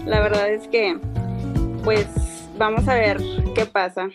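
A woman's voice: medium pitch, fairly even intonation, slow pace. A woman with a northern Mexican accent chatting casually as a podcast guest, a little nervous. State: slightly nervous. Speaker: podcast guest, first-timer. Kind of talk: casual chat. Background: loud background music.

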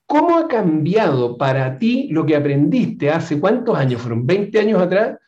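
A man's voice: fairly high pitch, big pitch swings, moderate pace, a little mellow. Male host, joking and playful, speaks to the listeners directly, lo-fi sound. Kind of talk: casual conversational interview. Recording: echoey, dull lo-fi room sound.

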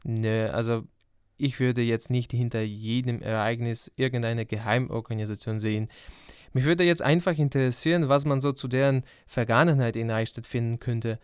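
A young man co-hosts a student podcast, reading intros and trading dry, teasing jokes. His pitch sits mid-range, and the sound is close and dry. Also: normal speed, clean tone, no room sound. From Ukraine. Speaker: podcast co-host, journalism student. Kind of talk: scripted intro and casual podcast chat.

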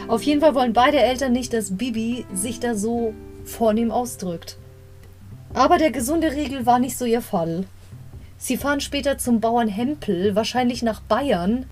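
A woman's voice: on the high side, a little mellow. Chatty young woman, rambling asides. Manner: chatty, rambling asides, corrects herself mid-story. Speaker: podcast host reviewing an audio drama episode. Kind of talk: casual retelling and commentary. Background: faint guitar music.

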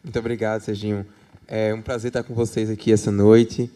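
A man with a Northeastern Brazilian accent from Paraíba introduces himself, steady and even. His voice is lowish, dark and smooth, with little rise and fall. He comes across as a calm, composed academic guest. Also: brisk. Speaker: guest, political scientist and professor. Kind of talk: formal self-introduction.

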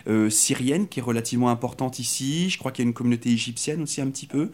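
A man's voice: mid-range voice, fast, darkish tone. A man interviewing a guest in a casual, chatty way, with many fillers and repeated words.

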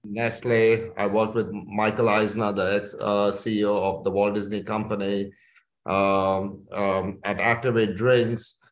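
A man's voice: on the low side, little pitch movement, unhurried. A man from India speaking conversationally and enthusiastically as a chief executive being interviewed, often repeating phrases for emphasis. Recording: echoey room.

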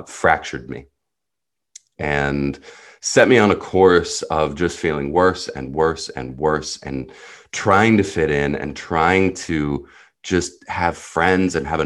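A man's voice: rumbling low, takes it slowly. A man, a native Hebrew speaker who grew up in the US, telling his life story as a podcast guest in an earnest, reflective and blunt way. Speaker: podcast guest, personal guide. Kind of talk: candid personal storytelling.

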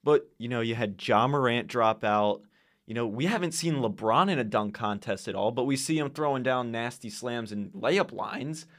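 A young man with a mid-range voice hosts a sports talk podcast. He leads the segments at a steady pace and slips in a dry, sarcastic remark now and then. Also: mid-range voice. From Arizona. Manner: measured, segment-leading, mildly sarcastic. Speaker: podcast host. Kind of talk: casual sports chat.

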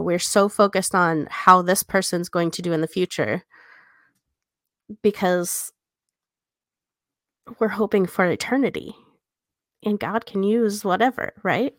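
A woman's voice: medium pitch, measured pace, pauses often, dry recording, studio quality. A woman co-hosting a podcast, explaining her thoughts casually and checking in with small tag questions. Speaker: podcast co-host.

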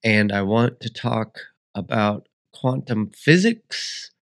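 A man's voice: fairly low pitch, expressive, takes it slowly. A man hosting a solo podcast, congested and sniffly, talking in a rambling, reflective way with frequent restarts. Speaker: podcast host.

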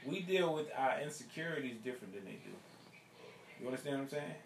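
A man's voice: mid-range voice, animated, fast talker. A man in his thirties in casual chat as a podcast co-host, blunt and teasing, full of slang. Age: 30s. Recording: echoey room with crowd noise.

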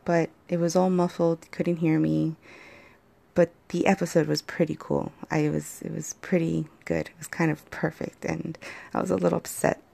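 Hoarse, gravelly woman on a phone mic. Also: low-pitched, level tone, normal speed, mellow. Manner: rambling, hesitant, many fillers. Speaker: podcast host. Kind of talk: casual solo podcast chat.

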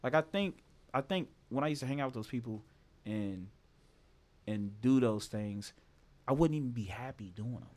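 A man's voice: medium pitch, expressive, normal speed, long pauses, darkish tone. A man in his twenties talking casually and candidly, swearing freely and often asking "you know what I mean?". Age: 20s. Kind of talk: casual chat.

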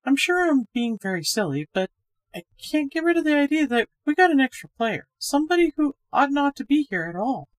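A woman's voice: high voice, sing-song, a little fast, dry recording, pitched above the performer's own voice. A woman voicing a friendly, chatty young woman who is uneasy but bold, in a ghost story. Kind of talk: ghost story character acting.

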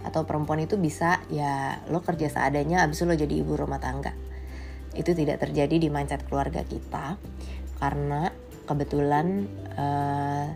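A woman's voice: sits low, steady tone, normal speed, gravelly, over music. A woman in her late twenties from Indonesia giving a casual, candid monologue, blunt and slangy, with English phrases mixed in. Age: late 20s.

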